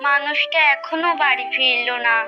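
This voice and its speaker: A high-voiced village mother from a cartoon, played by a woman, fretful and protective. The sound is slightly dull, with clear music behind her. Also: steady tone, moderate pace, close to an everyday voice. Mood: worried. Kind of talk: Bengali cartoon voice acting.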